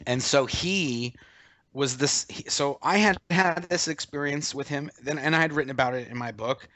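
A man in his forties on a video call, casually telling a story in an animated way and acting out what others said. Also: fairly high pitch, moderate pace, no room sound. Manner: animated, acts out quoted voices, laughs as he tells it. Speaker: interview guest.

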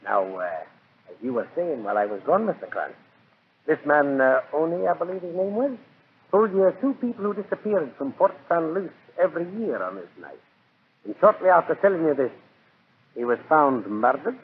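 An actor playing a smug, genteel and sinister villain in a 1940s radio drama, with mock-courteous, gloating formality. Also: male voice, on the high side, animated, fairly quick, lightly acted. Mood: oily politeness. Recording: noisy, tinny 1940s radio recording.

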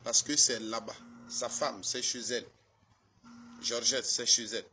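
A man's voice: mid-range voice, fairly even intonation, a little slow, bright, forceful tone, a little hiss or hum. A man with a Cameroonian accent doing a comic monologue, exasperated and pleading, repeating himself for effect.

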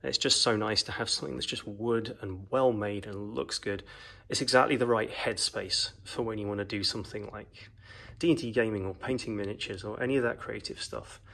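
Brief: man, low voice, relaxed home hobby reviewer, lots of ums, phone recording. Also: brisk, vocal fry. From New Zealand. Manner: rambling, frequent ums and uhs, relaxed. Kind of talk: casual product review. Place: home gaming room, indoors. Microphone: phone camera, off screen.

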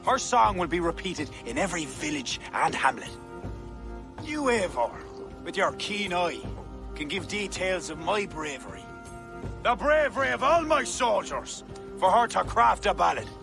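A man's voice: sits high, very animated, measured pace, creaky, over music, heavily acted. An actor with an Irish accent playing a proud, suspicious warrior king, grandiose and imperious, in a game cutscene. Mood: grandiose, boastful. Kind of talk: dramatic game cutscene dialogue.

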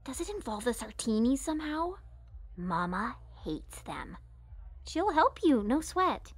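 A perky young mob princess in her early twenties played by an actress talks with girlish confidence and eagerness. Her voice is high and bouncy, recorded dry for an audio drama. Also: sing-song, measured pace, no room sound, exaggerated voice. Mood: worried.

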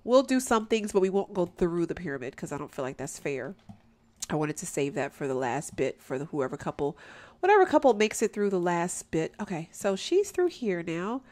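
A woman's voice: on the low side, big pitch swings, moderate pace, darkish tone. A young woman, chatting casually over a video game and calling her viewers "y'all". She sounds nasal and stuffed up, and she laughs often. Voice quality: nasal from allergies. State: stuffy nose. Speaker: gameplay commentator. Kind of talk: casual let's play commentary.

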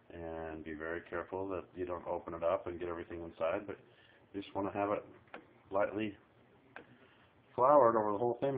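A man's voice: on the low side, a little hiss or hum. A middle-aged man casually explaining a recipe in a small kitchen, chatting to viewers while filming one-handed. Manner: folksy, chatty instructions, talks to the viewer. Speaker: home cook filming a recipe. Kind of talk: casual cooking tutorial. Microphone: handheld camera filming overhead. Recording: tinny phone-like audio.

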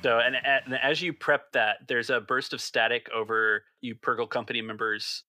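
A man's voice: medium pitch, fairly even intonation, moderate pace, pushed and bright, dry recording, soft background music. A man running a tabletop role-playing game as game master, thinking aloud in a conversational way.

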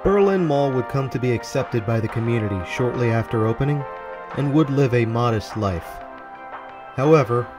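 Man narrating over music, middling-deep voice. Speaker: video narrator. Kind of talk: scripted documentary narration over a walkthrough video. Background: background music.

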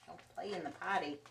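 Storytime host, female, middle-aged. Slow, animated picture-book read-aloud for young kids, recorded in a roomy space. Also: lowish voice, gravelly, slight background noise. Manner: expressive, simple phrasing with exclamations and asides to children. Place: indoor room, seated before a dark backdrop. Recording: roomy, distant camera microphone.

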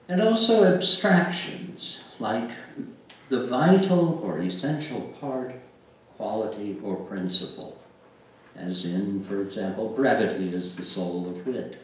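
An older man reading aloud at a church service in a deliberate, measured way, pausing between phrases. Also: fairly low pitch. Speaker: lay reader and book publisher. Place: church sanctuary, at a wooden pulpit. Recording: very echoey room, distant mic, faint noise.

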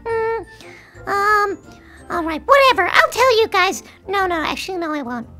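An actor voicing a boastful, touchy boy bully with a squeaky, girlish voice in a children's comedy video. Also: animated, moderate pace, pushed and bright, faint music behind, heavily acted. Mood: grudging. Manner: defiant, boastful, childish tough talk.

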